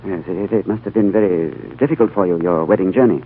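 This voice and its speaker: A man's voice: sits low, fast, slight background noise, close to an everyday voice. An actor playing an earnest, thoughtful younger man who narrates an adventure in 1940s radio drama style, with a dramatic, reverent delivery. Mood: tender, questioning. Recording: tinny 1940s radio recording with music.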